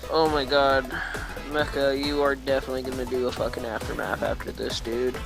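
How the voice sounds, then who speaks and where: A woman's voice: a bass voice, level tone, brisk, vocal fry, music in the background. A woman hosting a casual reaction video, exclamatory and prone to swearing.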